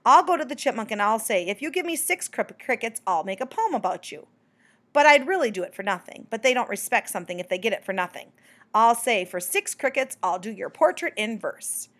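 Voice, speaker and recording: A woman's voice: fairly high pitch, sing-song, fairly quick. A woman voicing a shy, earnest little male bat poet in a storybook, with a timid, hesitant manner. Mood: excited.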